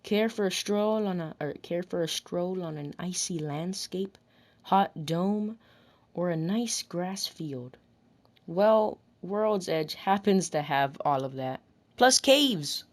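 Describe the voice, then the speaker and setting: A woman recording scripted commentary about a video game, deliberately delivering lines and often rephrasing them in retakes. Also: lowish voice, animated. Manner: deliberate, restarts and rephrases whole sentences.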